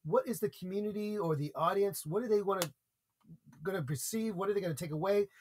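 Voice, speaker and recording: Earnest American male guest, speaking-coach type, slightly higher voice, steady explanatory delivery. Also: quick pace, slight background noise. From United States. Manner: earnest, explains with examples and repeated points. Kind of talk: conversational podcast interview.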